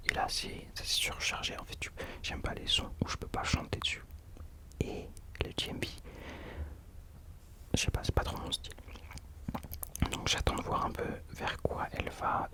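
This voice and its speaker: A man hosting ASMR in an unvoiced whisper, chatting informally and hesitantly, correcting himself as he goes. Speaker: ASMR host. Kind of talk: whispered ASMR chat about rappers.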